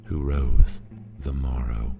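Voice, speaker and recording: A man giving a theatrical, solemn and deliberate dramatic recitation of a poem, with music. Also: rumbling low, level tone. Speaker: poetry reader. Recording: music played behind the voice.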